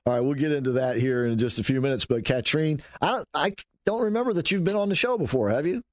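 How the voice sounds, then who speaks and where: A man hosting a talk show, questioning a guest in a relaxed, conversational way and sometimes stumbling over his words. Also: a little high, fast talker. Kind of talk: radio talk show interview.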